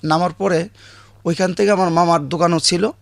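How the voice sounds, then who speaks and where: A man with a rural Bangladeshi accent, a guest recounting childhood memories in a halting way, often correcting himself. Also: high-pitched, fairly even intonation, vocal fry, no room sound.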